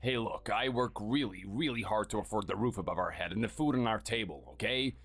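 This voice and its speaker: A performer voicing a cold, contemptuous workaholic husband who talks curtly and dismissively. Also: male voice, on the low side, brisk, fairly bright tone, breathy edge, soft background music. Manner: curt, scornful, dismissive.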